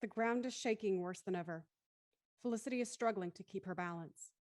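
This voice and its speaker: A woman's voice: mid-range voice, brisk, a little mellow, no room sound, lightly acted. A woman acting as the intent narrator of an audio thriller, reading dramatic scripted narration. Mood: intent storytelling.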